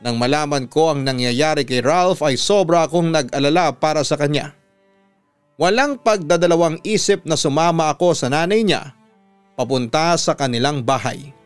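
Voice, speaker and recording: A Filipino man with a radio announcer's delivery, reading a scripted first-person story in a measured, earnest way. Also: a little high, clear, resonant tone, no room sound, soft background music.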